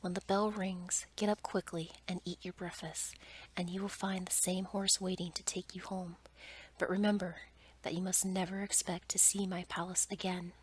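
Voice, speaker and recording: A woman voicing a stern, formal male beast from a storybook in a breathy ASMR whisper. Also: fairly low pitch, flat delivery, natural voice, rough recording. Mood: calm, commanding. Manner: measured, formal, commanding. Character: stern, commanding male beast, lord of a palace. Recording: noticeable background noise.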